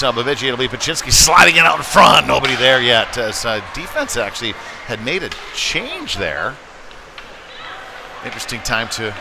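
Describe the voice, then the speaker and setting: Man calling hockey over rink noise. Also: medium pitch. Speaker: hockey play-by-play announcer. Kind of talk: live sports commentary. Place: indoor ice rink. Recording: noisy live rink stream.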